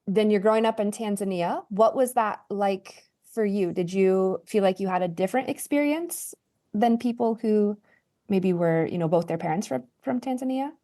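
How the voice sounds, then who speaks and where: A woman's voice: mid-range voice, moderate pace, mellow. A woman co-hosting a podcast, asking follow-up questions in an affirming way. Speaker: podcast co-host and interviewer. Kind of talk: interview.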